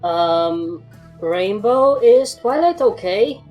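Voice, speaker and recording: Woman voicing female pony adventurer, bossy, dry sarcasm, lively swings in pitch, music underneath, fan fiction audio story. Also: extremely slow, rough recording. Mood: mildly concerned.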